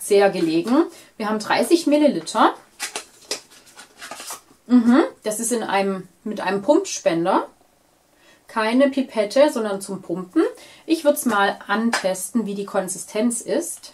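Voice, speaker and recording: A woman in her thirties with a cold, chatting enthusiastically as she unboxes beauty products on camera in a small bright room. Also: on the high side. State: stuffy, with a cold. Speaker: beauty vlogger. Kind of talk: casual unboxing chat.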